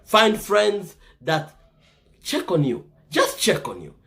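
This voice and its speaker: A man's voice: high voice, sing-song, slow, creaky, a bit bright. A man from the Congo with a Congolese accent, giving earnest casual advice to viewers and often checking in with "right?". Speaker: vlogger giving life advice.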